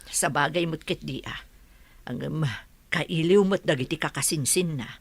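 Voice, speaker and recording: A woman's voice: deep voice, moderate pace, breathy edge, no room sound. A woman acting a concerned, wistful middle-aged mother in an audio drama.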